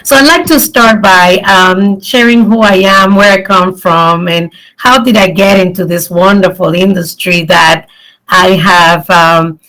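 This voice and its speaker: A middle-aged woman from the Dominican Republic gives an earnest, warm talk as guest speaker at an online event, with many pauses and ums. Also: fairly low pitch, low-quality recording.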